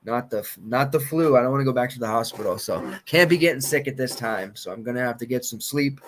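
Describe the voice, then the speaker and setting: A man in his thirties, losing his voice and clearing his throat, rambling casually through sports betting picks. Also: mid-range voice, fast, a bit bright, slight background noise. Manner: loose, rambling, confident picks with filler and restarts. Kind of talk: casual solo podcast commentary.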